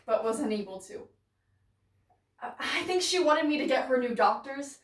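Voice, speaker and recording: Disillusioned, grieving woman played by a female actor, theatrical monologue, halting and bitter, heard from across an echoey room. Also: on the high side, lightly acted. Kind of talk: dramatic stage monologue. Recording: roomy echo, distant microphone.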